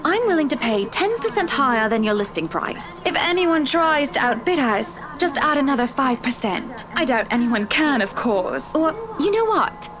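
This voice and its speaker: High-voiced, imperious rich socialite wife. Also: female voice, sing-song. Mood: haughty, brisk. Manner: brisk, commanding, breezily condescending. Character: wealthy, self-assured socialite wife, imperious yet playful. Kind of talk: visual novel game voice acting. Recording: music behind the voice.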